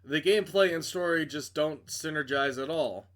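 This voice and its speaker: A man's voice: fairly high pitch, normal speed. A man narrating a video game playthrough, reading story text aloud dramatically and dropping in casual, blunt commentary. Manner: reads narration dramatically, stumbles and breaks off for blunt asides. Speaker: game streamer doing a playthrough.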